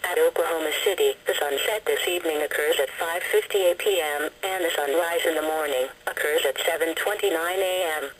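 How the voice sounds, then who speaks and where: A woman's voice: low voice, fairly quick, few pauses, poor audio. A woman reading scripted weather data as a radio announcer, in a formal, stilted automated delivery.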